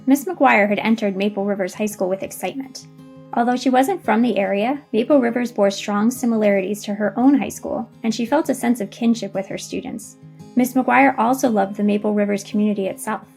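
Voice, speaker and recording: A woman's voice: fairly high pitch, a little fast, vocal fry, darkish tone, soft background music. A woman reading scripted narration as the storyteller of a case study.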